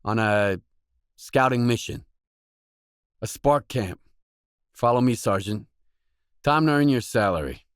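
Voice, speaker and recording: An actor playing a guarded, weary mercenary in a video game, speaking in blunt, matter-of-fact lines. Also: male voice, medium pitch, measured pace, pauses often, clean tone, no echo, clean studio sound, lightly acted. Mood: firm.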